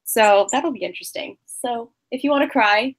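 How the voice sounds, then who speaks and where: A woman's voice: a little high, normal speed. A woman author joining a casual book chat over a video call as a guest, easygoing, with short agreeing replies and light laughs.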